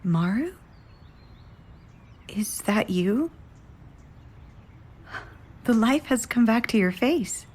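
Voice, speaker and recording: A woman's voice: a little high, lively intonation, drawn-out words, long pauses, a little mellow, a little hiss or hum. A woman playing a fragile, tender lost love in an audio drama, with a hesitant, emotional delivery. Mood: tender, haunted.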